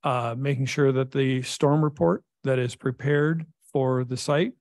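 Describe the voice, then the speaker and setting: Man, middle-aged, gravelly voice, dry close sound, measured technical briefing, filler pauses, council chamber desk mic. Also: medium pitch, steady tone, a little mellow, no room sound. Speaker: public works director. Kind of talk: formal council presentation.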